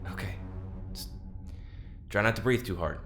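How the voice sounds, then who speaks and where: A man's voice: low voice, moderate pace, creaky, fairly bright tone. A man playing a casual, awkward escape leader in an audio drama, speaking with stammering, conversational hesitancy. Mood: anxious, reassuring. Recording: echoing room, music behind.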